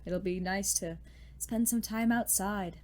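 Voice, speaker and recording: A woman's voice: mid-range voice, unhurried, darkish tone, lightly acted. A woman playing a pleasant, chatty schoolteacher with a knowing air in an audio drama, polite and breezy.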